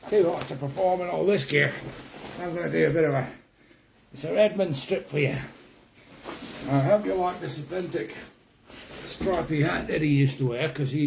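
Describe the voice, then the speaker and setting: A man's voice: a little high, vocal fry, darkish tone, slight background noise. A man playing a jokey, rambling mountaineer in a comic monologue, attempting a New Zealand accent that sounds Australian. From Scotland. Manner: rambling, self-mocking, chuckling, halting. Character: jokey male mountaineer with a wobbly put-on antipodean accent. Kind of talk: comic character monologue to camera. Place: kitchen in a house.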